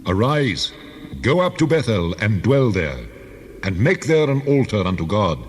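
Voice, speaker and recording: A man's voice: fairly low pitch, big pitch swings, measured pace, husky, full character voice. An actor playing God as a solemn, commanding deity in a dramatized scripture reading, declaiming his words. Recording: long cavernous reverb.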